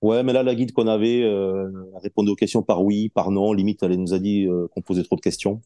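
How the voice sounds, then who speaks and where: A man in his late forties with a low, mellow voice talks as a podcast guest. He is relaxed and unhurried, often hesitating and restarting his sentences. The sound is dry and close. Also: no room sound. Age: 40s. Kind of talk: casual interview chat.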